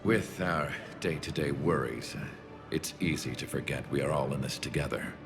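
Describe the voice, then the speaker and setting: An actor playing a wary middle-aged military leader, speaking in a measured negotiating tone in a game cutscene. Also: male voice, a bass voice, over music. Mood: guarded. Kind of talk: video game cutscene acting.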